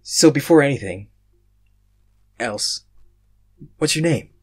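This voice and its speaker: A man's voice: medium pitch, lively intonation, slow, a little mellow, husky, voice pushed up. A man voicing a wary, teasing male tactician in a fantasy story, with a dry, questioning manner. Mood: amused.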